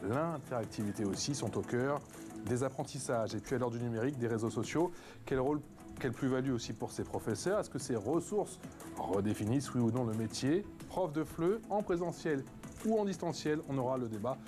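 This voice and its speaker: A man's voice: mid-range voice, lively intonation, brisk. A man presenting a television magazine show in a scripted broadcast style. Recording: TV broadcast with music bed. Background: music.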